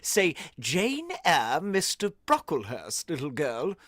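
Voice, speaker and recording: Slow, pompous, sanctimonious schoolmaster acted out. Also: mid-range voice, huge pitch swings, a bit bright, no room sound, clean studio sound, heavily acted. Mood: condescending. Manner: slow, preachy, condescending. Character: pompous, sanctimonious male schoolmaster scolding a child. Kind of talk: vintage radio drama acting.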